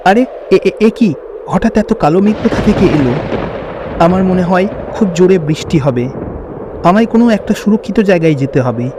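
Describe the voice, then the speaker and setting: A man's voice: high-pitched, expressive, fairly quick, background noise. A man voicing an earnest, kindly young village hero in a fairy-tale cartoon, given to exclaiming. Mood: puzzled.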